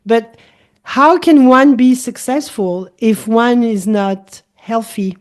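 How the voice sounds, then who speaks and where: A woman's voice, a little higher than most, speaking English with a clear French accent. She talks as a middle-aged holistic coach in a relaxed interview and explains her views thoughtfully. She often comes back to the same point in slightly different words. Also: slow. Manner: thoughtful, explanatory, repeats key phrases. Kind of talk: casual interview chat.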